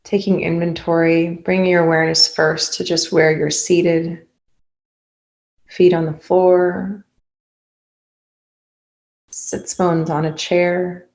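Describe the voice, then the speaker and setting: A woman guides a meditation in a low voice with very little melodic movement. Her delivery is calm and unhurried, with creaky fry in the tone. She leaves long pauses between short, gentle instructions. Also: low-quality recording. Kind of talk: guided meditation, spoken softly to listeners.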